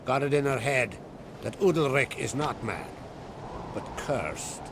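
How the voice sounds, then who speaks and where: An actor playing a proud, fatherly island jarl in a video game, speaking in a deliberate, solemn way with rustic phrasing. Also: mid-range voice, lively intonation, noisy background. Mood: weighty, concerned. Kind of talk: dramatic video game dialogue. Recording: game scene with loud music underneath.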